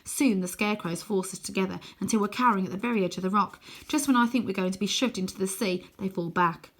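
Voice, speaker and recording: A woman reading a children's storybook aloud in an expressive, dramatic way, sometimes hushing her voice for suspense. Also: medium pitch. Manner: dramatic, deliberate, dropping to hushed tones for suspense.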